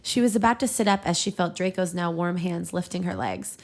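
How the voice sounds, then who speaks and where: A woman's voice: on the low side, animated, brisk. A young woman hosting a podcast, reading fan fiction aloud with casual asides. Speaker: podcast host and reader.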